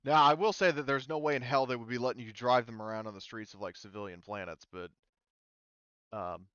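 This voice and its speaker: A man's voice: mid-range voice, brisk, sharp tone, clear, resonant tone, no echo, lo-fi sound. A man acting as game master, talking players through a tabletop game in a casual, informal way with some swearing.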